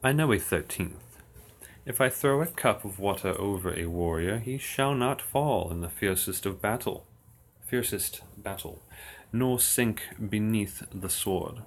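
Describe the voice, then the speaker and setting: A man reciting old verse slowly and steadily on his livestream, with dry asides. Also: on the low side, expressive. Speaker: occult streamer. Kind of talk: reading verse aloud on a livestream.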